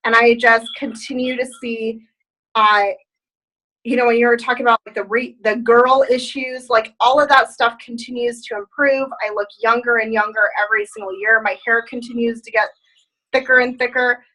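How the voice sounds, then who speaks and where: A woman hosting a group video call, giving an enthusiastic, rallying testimonial pitch through a laptop webcam. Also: fairly even intonation, normal speed, bright, forceful tone, rough recording.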